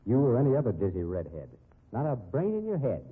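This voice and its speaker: An actor playing a brash, wisecracking private detective, blunt but caring, in 1950s radio drama style on an old muffled recording. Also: male voice, mid-range voice, wildly swooping pitch, fast talker, breathy edge. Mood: blunt, challenging. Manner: slangy, blunt, needling.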